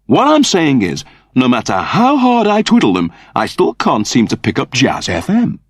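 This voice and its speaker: Sci-fi audiobook android, now human: prim, earnest, wordy, wildly animated pitch, very dry close sound. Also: male voice, normal speed, slightly rough, no room sound. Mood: fussy, embarrassed. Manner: prim, wordy, over-polite. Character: eager, fussy android newly turned human, male, naively earnest.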